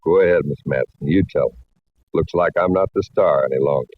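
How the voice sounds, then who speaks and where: A man's voice: extremely low pitch, brisk, no room sound. A man playing an easygoing, folksy singing cowboy with a modest, drawling manner in a 1940s radio drama. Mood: rueful. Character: easygoing, folksy male singing cowpoke with a sly side. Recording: muffled old radio recording.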